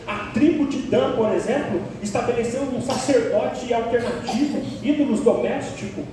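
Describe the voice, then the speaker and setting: A man from Paraná preaches a verse-by-verse sermon in a church sanctuary. His voice is high yet mellow, and he leans hard on key words. It is picked up from a distance, with long reverb filling the hall. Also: moderate pace, a little hiss or hum. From Paraná, Brazil. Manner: emphatic, builds to sharp peaks on key words. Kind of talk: expository sermon.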